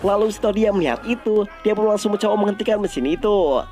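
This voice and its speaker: High-pitched Indonesian man narrating a film recap. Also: fast, dark tone, soft background music.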